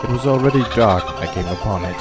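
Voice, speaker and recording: An actor playing a shipwrecked big-game hunter in a radio drama, telling his story in a dramatic, measured way. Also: fairly low pitch, loud music behind the voice, lightly acted. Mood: tense, weary. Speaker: narrator and lead actor. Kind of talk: old-time radio drama, first-person narration.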